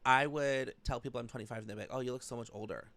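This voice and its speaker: A man's voice: medium pitch, racing, vocal fry, fairly bright tone, a little hiss or hum. An American man in his thirties, chatting casually as he hosts an interview podcast. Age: 30s. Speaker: podcast host.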